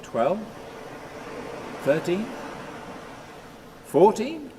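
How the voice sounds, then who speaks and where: A haunted, volatile husband in a radio play. His fairly high voice swings widely as he broods at a slow, obsessive pace. A clear rumbling noise sits behind him. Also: very animated, heavily acted. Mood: brooding. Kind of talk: radio drama acting.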